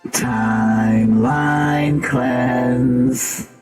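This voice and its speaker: A man's voice: medium pitch, fairly even intonation, drawn-out words, a little mellow, faint music behind. A man hosting an online live show, chatting casually and playfully, straight to his viewers. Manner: playful, informal, addresses viewers directly.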